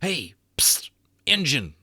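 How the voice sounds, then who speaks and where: A man voicing a small, excitable cowboy with a folksy, slangy twang in a storybook reading. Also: a little high, slow, breathy edge, no echo, studio quality, voice pushed up, exaggerated voice. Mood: sly.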